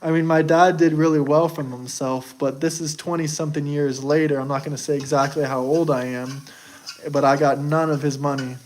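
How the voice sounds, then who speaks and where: Young american guy on a stream, kinda high voice, defensive rambly chat, faint hiss behind. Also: level tone, a little fast, low-quality recording. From United States. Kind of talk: casual live chat.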